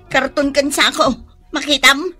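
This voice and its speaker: An actress playing a fed-up, scolding Filipino wife with an Ilocano accent in a radio comedy drama. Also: female voice, little pitch movement, moderate pace, music in the background, lightly acted. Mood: angry, hurt. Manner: nagging, shrill.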